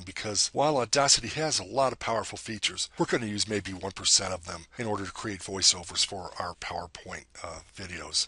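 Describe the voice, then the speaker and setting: A man with a deep voice giving a screen-recorded software tutorial, explaining each step plainly and now and then correcting himself. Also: husky, no room sound.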